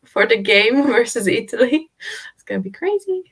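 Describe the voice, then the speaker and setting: Creaky-voiced woman chatting casually. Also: on the high side, very animated, moderate pace, fairly bright tone. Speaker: co-host of a book readalong stream. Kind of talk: casual chat.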